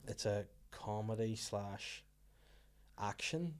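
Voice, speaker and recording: A man's voice: fairly low pitch, slow pace. A man with a Northern Irish accent hosting a casual comedy chat, rambling and bantering as he goes. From Northern Ireland. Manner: bantering, rambling, slips into acted quotes. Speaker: podcast host, comedian and actor.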